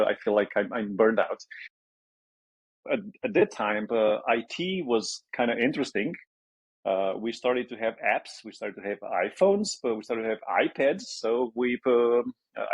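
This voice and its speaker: Romanian-accented man, husky and dry. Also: on the low side, no echo, muffled recording. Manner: hesitant, many fillers and restarts. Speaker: guest entrepreneur. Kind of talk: conversational interview answers.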